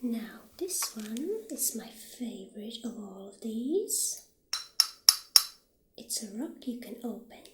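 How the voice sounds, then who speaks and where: A woman playing a friendly witch shopkeeper in a soft-spoken ASMR roleplay, half-whispering gently with hesitant pauses. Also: fairly high pitch, sing-song, reverberant, very noisy. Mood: softly admiring. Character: friendly witch shopkeeper showing off potion ingredients.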